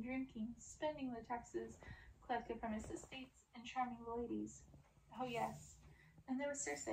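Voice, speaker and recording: A woman narrates a storybook in an ASMR style. Her voice is on the high side with plenty of creak, picked up at some distance with faint background noise. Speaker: narrator reading a book aloud.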